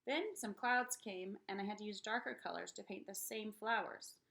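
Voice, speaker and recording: A woman reading a children's picture book aloud in an expressive, dramatic way for young listeners. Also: medium pitch, moderate pace, creaky. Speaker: read-aloud storyteller.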